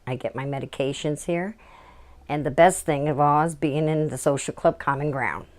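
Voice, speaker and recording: Low-voiced woman talking about the help she got, plain and heartfelt. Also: moderate pace. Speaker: client. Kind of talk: personal testimonial.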